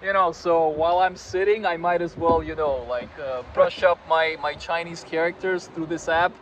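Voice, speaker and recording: A man's voice: on the high side, fairly even intonation, moderate pace, crowd noise. A man in his thirties from Croatia, chatting casually as a guest at a park table, with lots of fillers and self-deprecating asides. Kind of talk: casual conversation. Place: park table outdoors on a sunny day. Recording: outdoor traffic noise.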